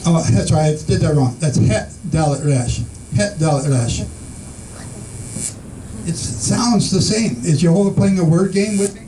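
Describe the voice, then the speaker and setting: Male Bible teacher, high and mellow, reads scripture and quizzes the group, recorded from a distance. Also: a little slow, slight background noise. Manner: reads scripture aloud and questions the listeners. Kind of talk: informal Bible study teaching. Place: room with a small group in the Philippines.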